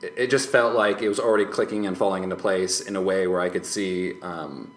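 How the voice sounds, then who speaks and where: Male podcast guest, low-pitched, hesitant and reflective, roomy recording. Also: fairly even intonation, a little fast. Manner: hesitant, tentative, rambling with hedged phrasing. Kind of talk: casual reflective chat. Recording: roomy echo, faint background noise.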